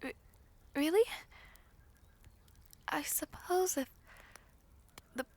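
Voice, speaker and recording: A woman playing a shy, humble young former maid in an audio roleplay, soft-spoken, hesitant and formally polite. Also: high voice, extremely slow, heavily acted. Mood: bashful, hesitant. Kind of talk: scripted audio roleplay acting.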